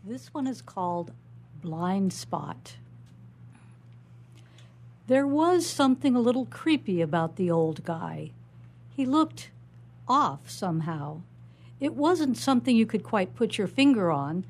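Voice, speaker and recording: A woman's voice: medium pitch, big pitch swings, takes it slowly, long pauses. A woman author reading her own first-person short story aloud.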